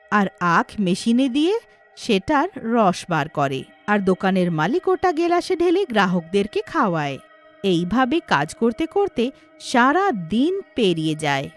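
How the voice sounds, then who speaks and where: A woman working as a story narrator, reading a scripted moral tale. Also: mid-range voice.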